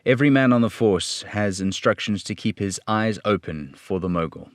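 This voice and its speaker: A man playing an earnest, fatherly police detective in an old radio serial style, measured and authoritative. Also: fairly low pitch, dry recording, clean studio sound, lightly acted. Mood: earnest, businesslike.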